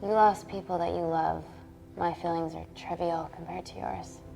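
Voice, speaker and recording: A woman's voice: fairly low pitch, steady tone, normal speed, music in the background. An actress playing a serious, hurt woman doctor in a film thriller, speaking in a terse, weary, earnest way. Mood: weary, stern. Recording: film score clearly behind voice.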